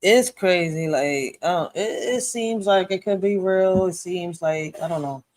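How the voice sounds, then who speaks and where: A man's voice: sits high, a little slow, dry recording. A man in his thirties hosting a reaction video, talking casually and bluntly with swearing and rhetorical questions as he thinks aloud. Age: 30s.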